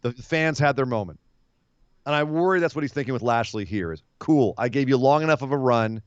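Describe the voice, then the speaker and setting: A man with a slightly hoarse voice talks with animated conviction, laughing easily as he argues his picks. The sound is dull and lo-fi, dry and close, like a remote podcast mic. His pitch sits in a middle range. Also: fairly quick, no room sound. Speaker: podcast co-host. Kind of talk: casual wrestling podcast chat.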